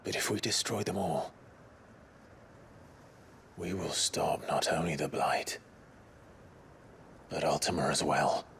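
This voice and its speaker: A man playing a brooding, resolute warrior in a video game, grave, weary and measured, with a husky, breathy voice. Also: a bass voice, a bit bright, slight background noise, heavily acted. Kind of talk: dramatic video game acting.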